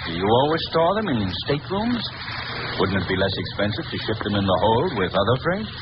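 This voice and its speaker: A man playing a shrewd, courteous gentleman sleuth in an old radio drama, suave and deliberate in his formal speech. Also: lowish voice, very animated. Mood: brisk, inquiring. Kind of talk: 1930s radio drama acting. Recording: noisy, muffled vintage radio recording.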